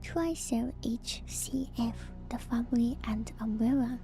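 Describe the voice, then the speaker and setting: Slow, whispering ASMR woman. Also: fairly high pitch, faint music behind. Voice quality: soft, breathy whisper. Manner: slow, gentle, repeats words and phrases. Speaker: VTuber streamer. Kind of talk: whispered ASMR reading and commentary.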